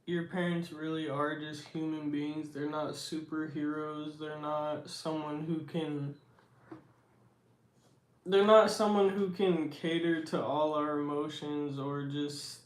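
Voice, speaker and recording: A young man with a baritone voice, chatting slowly and reflectively on his livestream. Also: fairly even intonation. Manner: slow, reflective and soft-spoken. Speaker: livestream host. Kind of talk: casual livestream chat reading comments. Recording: roomy echo.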